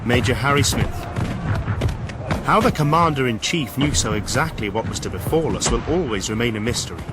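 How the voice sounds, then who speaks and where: An actor playing an eager, dutiful British army major in a period radio drama, speaking in a clipped officer's manner. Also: male voice, mid-range voice, expressive, fairly quick, very noisy, lightly acted. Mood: reflective.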